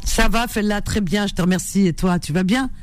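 A woman's voice: mid-range voice, fairly quick. A woman from Algeria hosting a radio classified-ads show, chatting with lots of fillers and repetitions. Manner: warm chatty delivery with many fillers and repetitions. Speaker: radio host.

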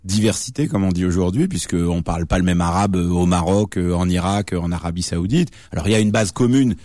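A man in his late thirties with a very low voice, answering questions as a radio guest. He explains patiently, restarting sentences and filling pauses as he thinks. The sound is close and dry, with no room around it. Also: darkish tone, no echo. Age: late 30s. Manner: thoughtful, explanatory, frequent fillers and restarts. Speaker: guest, journalist and author. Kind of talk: radio interview.

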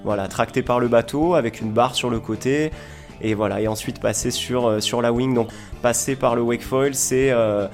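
A man's voice: medium pitch, moderate pace. Man explaining informally over guitar music. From Montpellier, southern France. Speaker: foil school owner and instructor, interview guest. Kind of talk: informal radio interview.

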